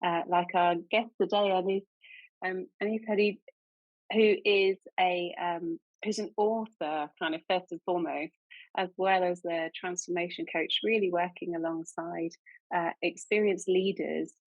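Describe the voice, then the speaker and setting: A woman's voice: on the low side, fairly even intonation, measured pace, dry recording. A woman hosting a podcast, introducing her guest in an enthusiastic, chatty way with many restarts. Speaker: podcast host. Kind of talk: podcast interview. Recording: dull, dry sound.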